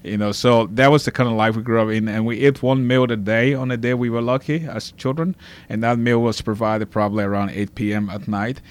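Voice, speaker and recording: A man's voice: medium pitch, fairly even intonation, a little fast, clear, resonant tone. A man from Liberia in his forties, a guest in a studio, earnestly telling his life story with a strong faith focus.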